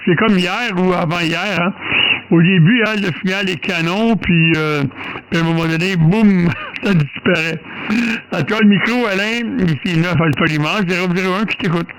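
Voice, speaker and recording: A man's voice: on the high side, poor audio. An older man with a Quebec French accent chatting casually as an amateur radio operator, often repeating himself. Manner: repeats words, English exclamations. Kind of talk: casual two-way radio chat.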